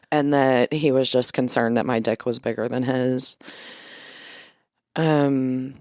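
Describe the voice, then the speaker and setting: A woman with a deep voice co-hosting a podcast, chatting in a laid-back way and chuckling as she tells stories. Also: steady tone, takes it slowly, a little mellow, no echo, studio quality. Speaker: podcast co-host. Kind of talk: casual chat. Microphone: studio podcast microphone.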